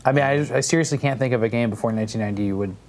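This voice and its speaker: A man's voice: mid-range voice, very fast. A man chatting casually as a podcast panelist, throwing questions and suggestions into a group guessing game.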